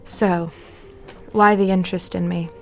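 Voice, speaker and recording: Cutting, sardonic housewife played by an actress. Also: female voice, lowish voice, steady tone, unhurried, gravelly, faint music behind. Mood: suspicious. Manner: curt, cynical, cutting. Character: bitter, sardonic, hard-drinking kept-away housewife. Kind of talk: period audio drama acting.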